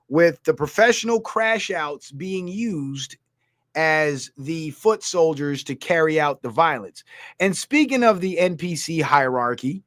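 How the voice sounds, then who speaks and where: A high-voiced man from Guyana rants through his livestream, heated and blunt as he fires off political commentary. His voice is close and dry, with no room sound around it. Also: no room sound. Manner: heated, ranting, reading comments aloud. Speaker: streamer.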